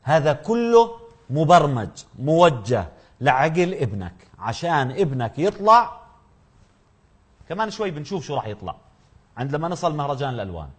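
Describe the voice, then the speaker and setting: A man's voice: fairly high pitch, big pitch swings, moderate pace, breathy edge. A man with a Jordanian accent presenting opinionated commentary, emphatic and indignant, full of rhetorical questions.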